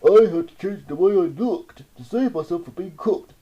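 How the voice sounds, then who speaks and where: Woman doing a slow chill snail, breathy, mellow. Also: fairly low pitch, lively intonation, highs cut off, pitched above the performer's own voice. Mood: calm. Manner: slow and measured. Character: calm magic snail, slow-spoken.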